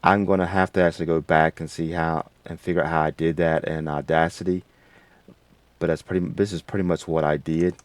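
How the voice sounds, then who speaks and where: A man hosting a casual, unscripted software tutorial in a rambling, folksy way. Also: low voice, little pitch movement, brisk, no room sound. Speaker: tutorial host.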